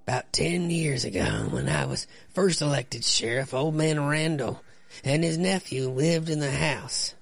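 Gravelly sheriff played by a man. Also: on the high side, very animated, a little slow, no echo, heavily acted. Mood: gruff, folksy. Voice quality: gravelly, raspy. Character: grizzled small-town sheriff, grim old storyteller. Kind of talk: comic-book radio play.

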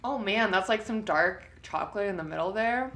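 A woman's voice: mid-range voice, fairly bright tone. A woman co-hosting a podcast, chatting casually and giggling as she tastes snacks with a friend. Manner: chatty, giggly, quick asides. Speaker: podcast co-host. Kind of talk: casual snack-tasting chat. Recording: roomy echo.

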